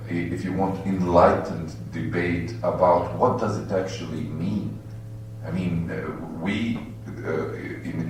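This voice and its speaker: Guy on a panel, slow low voice, stops and restarts a lot, echoey far-off mic with a faint hum. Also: a little mellow. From Bosnia. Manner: halting and earnest, searching for words. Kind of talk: roundtable discussion. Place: university auditorium.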